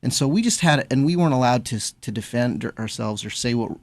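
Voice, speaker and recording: A middle-aged man with a gravelly voice speaks earnestly and at a measured pace into a studio mic. He answers questions as a father. The recording is dry and close. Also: mid-range voice, animated, a little mellow, dry recording. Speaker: guest's father. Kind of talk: radio interview. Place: radio studio.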